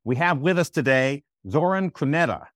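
A man's voice: on the high side, animated, normal speed, a bit bright, dry recording, studio quality. A man hosting a podcast, reading a polished scripted intro and then asking interview questions. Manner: scripted, smooth intro delivery. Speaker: podcast host.

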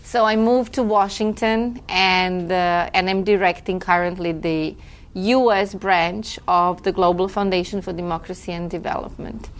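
A woman's voice: on the low side, steady tone, normal speed. A woman from Croatia, a guest in an interview, talking in a reflective, chatty way with a few self-corrections. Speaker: guest, film festival director. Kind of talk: relaxed conversational interview.

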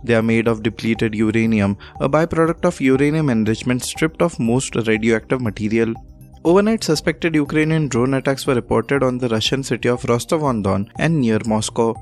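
A man with an Indian accent reading scripted news as a presenter in a formal, measured manner. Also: mid-range voice, darkish tone, faint music behind.

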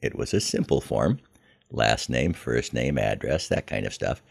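An older American man telling a scripted first-person story in a measured, reflective manner. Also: a bass voice, animated, gravelly. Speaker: podcast host telling his memoir.

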